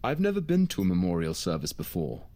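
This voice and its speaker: A young boyfriend in an audio drama. He is affable and a little tactless, asking things casually with very animated, varied intonation. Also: sits low, fast, heavily acted. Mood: curious, casual. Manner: casual, questioning. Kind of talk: naturalistic audio drama acting.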